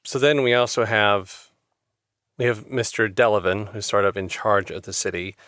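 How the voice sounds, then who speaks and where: A man's voice: on the low side, fairly even intonation, normal speed, fairly bright tone, no room sound, studio quality. A man hosting an interview, talking in an earnest, rambling way and often repeating words. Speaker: interviewer, host. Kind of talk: conversational interview.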